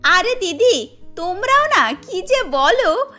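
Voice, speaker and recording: A woman's voice: very high voice, very animated, slow pace, bright, forceful tone, soft background music, voice pushed up, full character voice. A woman playing chatty, playful young village sisters-in-law in a cartoon. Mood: teasing.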